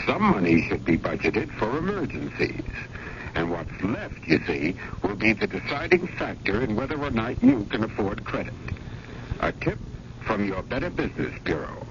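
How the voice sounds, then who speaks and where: A man's voice: medium pitch, gravelly. A man reading scripted consumer advice as a public service announcer, emphatic and instructive. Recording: noisy, muffled old radio broadcast.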